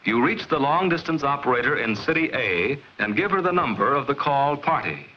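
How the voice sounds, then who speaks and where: 1950s film narrator, dull vintage soundtrack. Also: male voice, medium pitch, lively intonation. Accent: American. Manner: measured, explanatory, clearly enunciated.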